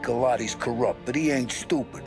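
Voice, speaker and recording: A man's voice: mid-range voice, normal speed, close to an everyday voice. Man playing a slangy, streetwise gangster. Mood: businesslike. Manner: slangy, matter-of-fact. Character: streetwise, seasoned male 1930s gangster. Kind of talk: dramatic video game cutscene acting. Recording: loud music under the voice.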